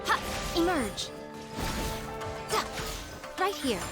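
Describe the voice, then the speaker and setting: A woman's voice: extremely high pitch, very animated, drawn-out words, exaggerated voice. A woman playing a young fighter in a video game, calling out short commanding battle cries. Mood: commanding, fierce. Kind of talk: English video game voice acting. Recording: loud game music and crashing combat effects.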